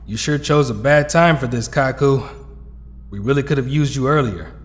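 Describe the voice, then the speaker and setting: A man's voice: mid-range voice, moderate pace, natural voice. An actor playing a somber, loyal young male hero in an audio drama. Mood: reproachful. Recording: reverberant hall sound.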